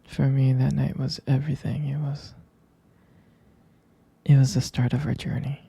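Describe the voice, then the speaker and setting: Guy acting as a sweet smitten boyfriend for asmr, really breathy and crackly, slow halting soft talk. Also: medium pitch, flat delivery, dry recording. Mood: fond, devoted. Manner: hesitant, halting, gentle. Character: tender, smitten boyfriend reminiscing about a first date. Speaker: ASMR boyfriend roleplay performer. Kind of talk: intimate boyfriend ASMR roleplay.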